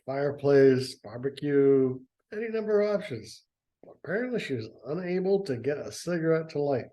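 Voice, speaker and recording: Guy reading a story and snarking at it the whole way, mellow dark voice. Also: a little high, lively intonation, normal speed. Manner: sarcastic, mocking asides. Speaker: reader and commentator. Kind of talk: dramatic reading with sarcastic commentary.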